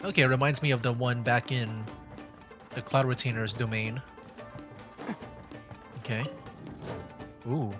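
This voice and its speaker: A man's voice: mid-range voice, steady tone, a little slow, long pauses. Man streaming a game over music. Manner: rambling, thinking aloud. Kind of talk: casual gameplay commentary.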